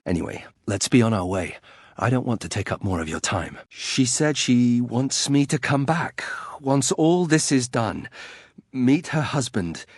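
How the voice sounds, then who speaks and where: A man's voice: mid-range voice, lively intonation, a little slow, breathy edge, no echo, studio quality. An actor playing a proud, brooding young nobleman turned reluctant warrior in a fantasy video game, formal, terse and bitter. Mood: quietly moved.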